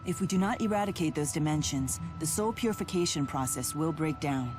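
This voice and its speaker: A resolute spirit woman with a low, grave voice. She speaks formally and earnestly, in measured anime game dialogue, while music plays underneath. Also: lively intonation. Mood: grave, explanatory.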